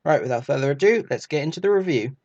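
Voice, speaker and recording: Higher-pitched man narrating a review. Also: lively intonation, fast, a little mellow, highs cut off. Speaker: reviewer and channel host.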